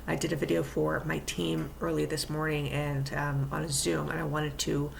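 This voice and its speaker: A woman talks casually to her followers, keeping her voice low so she won't wake someone in the house. Her voice has a strong gravelly fry, and she wanders through her thoughts with frequent ums and restarts. A faint noise sits under the recording. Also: level tone, moderate pace. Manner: rambling, many ums and restarts; speaking quietly so as not to wake someone. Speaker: vlogger and fitness coach. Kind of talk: casual vlog chat.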